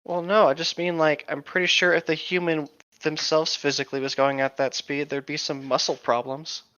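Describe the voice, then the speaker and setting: Higher-voiced man, slightly dull recording. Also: flat delivery, normal speed, pushed and bright, clean tone. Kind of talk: casual chat.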